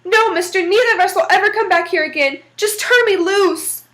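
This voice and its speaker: A woman's voice: very high-pitched, brisk, a bit bright, voice pushed up. A woman reading aloud, voicing a bragging, mid-sized boy devil who turns to begging. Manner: boastful, then begging.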